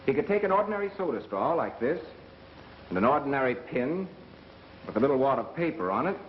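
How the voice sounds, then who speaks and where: An actor with an American accent playing a calm, methodical engineer turned sleuth in a 1930s film, measured and wry. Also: male voice, medium pitch, close to an everyday voice. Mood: composed. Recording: muffled, hissy 1930s film soundtrack.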